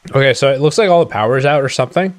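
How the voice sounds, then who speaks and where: A man's voice: medium pitch, racing. A man co-hosting a stream, giving casual running commentary as he plays a video game. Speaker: co-host playing a video game.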